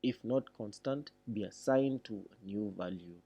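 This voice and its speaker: Slow, low-voiced man reading a tutorial aloud. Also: dark tone, highs cut off. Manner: deliberate, thinking aloud between read passages. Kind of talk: read-along tutorial with informal explanation.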